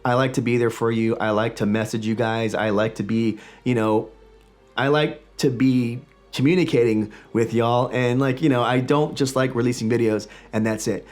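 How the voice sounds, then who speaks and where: A man in his forties with a slightly raspy voice, still recovering from illness, hosting a toy collecting vlog from a small home studio in an enthusiastic, chatty way. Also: medium pitch, fairly even intonation, normal speed, a little mellow, soft background music. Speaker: toy collecting channel host. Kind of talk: casual vlog talk to camera.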